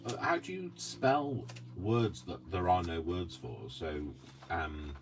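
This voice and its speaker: A man's voice: low voice, sing-song, slow. A man from Coventry with a mild, slightly posh British accent, chatting hesitantly and self-deprecatingly while he crafts at home. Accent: mild posh British English. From Coventry, England. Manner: rambling, hesitant, self-deprecating, many ums. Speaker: craft vlogger. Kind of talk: casual chat while crafting. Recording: distant mic with clear background noise.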